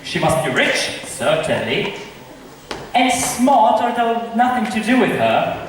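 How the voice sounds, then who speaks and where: A man's voice: high voice, very animated, slow, full character voice. A man playing a witty, scornful bachelor who mocks love in an amateur school stage comedy. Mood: mocking. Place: large echoey indoor hall. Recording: very echoey hall from far off, hissy.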